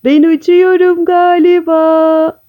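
Woman as an excitable, high-voiced little frog. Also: takes it slowly, gravelly, heavily acted. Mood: amazed, thrilled. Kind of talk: children's storybook character voice.

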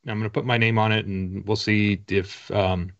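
Low-voiced man in a casual interview. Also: flat delivery, normal speed. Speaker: guest, author. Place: indoor home room with bookshelves. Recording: dull, lo-fi video call audio.

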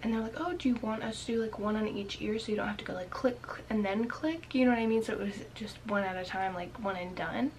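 A young woman with a slightly raspy voice, chatting to her webcam in a small bedroom, full of "like" fillers and often dropping into a whisper. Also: medium pitch, fast, few pauses, slight background noise. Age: young adult. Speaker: vlogger. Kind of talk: casual storytelling to camera.

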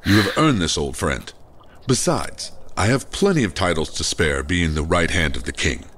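An actor playing a hearty, authoritative royal advisor in a fantasy audio drama, speaking in a commanding, formal manner. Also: male voice, sits low, lively intonation, moderate pace, a bit bright. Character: hearty, authoritative king's right-hand nobleman, old friend.

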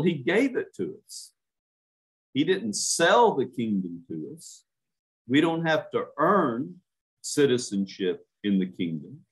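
Slow, emphatic older male preacher. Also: medium pitch, low-quality recording. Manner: earnest, emphatic, stretches key words. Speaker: minister. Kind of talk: home church sermon.

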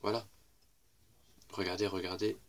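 A young man with a cold and a congested, weak voice casually commenting on football highlights, rambling and repeating himself. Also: flat delivery, measured pace. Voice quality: congested, little voice. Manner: rambling, repeats "regardez" and "voilà". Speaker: YouTuber commenting match highlights.

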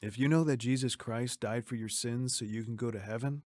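A man playing a kindly, encouraging pastor in a radio drama, gently giving guidance. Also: medium pitch, fairly even intonation, brisk, no room sound, studio quality, lightly acted. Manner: gentle, instructive.

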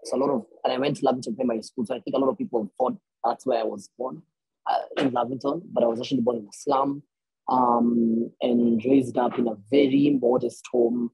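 A Kenyan man in his thirties talks on a video call through earbuds, his voice gravelly and husky. He rambles warmly through his answers, restarting phrases often. The sound is dry and close. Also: medium pitch, fairly even intonation, a little fast, no room sound, low-quality recording. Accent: Kenyan English. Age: 30s. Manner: rambling, many restarts and fillers, laughs easily. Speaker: guest musician. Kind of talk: conversational interview answers. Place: small room indoors.

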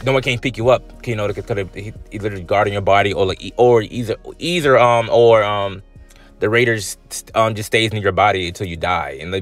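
A man's voice: on the low side, a bit bright. A man talking casually over gameplay footage as the channel's host, in a drowsy, loose way with lots of fillers and slang.

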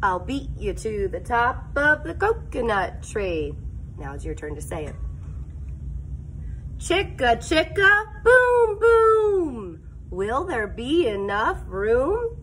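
Animated woman reading aloud to children. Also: on the high side, slight background noise. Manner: expressive, deliberate, sing-song, prompts listeners to join in. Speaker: elementary teacher. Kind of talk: animated picture-book read-aloud for young children. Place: school classroom, indoors.

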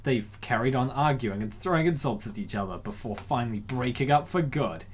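A man's voice: mid-range voice, expressive, a little fast, slightly rough. A man narrating a comic as commentator, theatrical and slangy, riffing casually on it as he goes.

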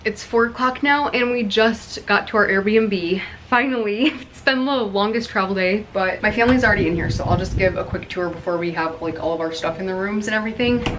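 A young woman chatting to her handheld camera in a casual travel vlog, bubbly and a little hoarse from yelling. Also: mid-range voice, a little fast, hardly any pauses, background noise. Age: young adult. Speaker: family vlogger. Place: car, plane and rental house. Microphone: handheld camera at arm's length.

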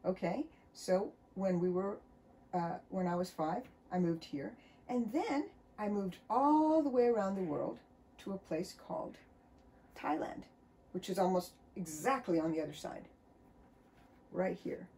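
A middle-aged woman from the United States, a children's author, chatting and reading a storybook aloud in her book-filled home studio with a cheerful, expressive storytime manner. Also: medium pitch, unhurried, slight background noise. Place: home studio full of books, indoors.

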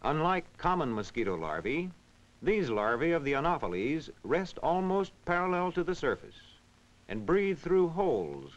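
A man reading scripted educational narration in a measured, instructional, clearly enunciated way. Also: a little high, big pitch swings. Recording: dull, band-limited vintage film soundtrack.

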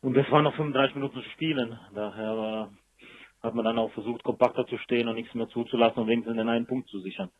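A man's voice: mid-range voice, steady tone, quick pace, no room sound. A man speaking as a football coach in a post-match interview over a telephone line. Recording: muffled, dry telephone line.

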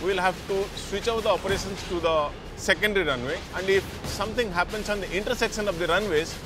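A middle-aged Indian-accented man explains things in an interview. His voice is slightly high, recorded outdoors with clear music underneath. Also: quick pace. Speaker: head of airport operations, interviewee. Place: outdoors on an airfield. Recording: music bed, outdoor vehicle sounds.

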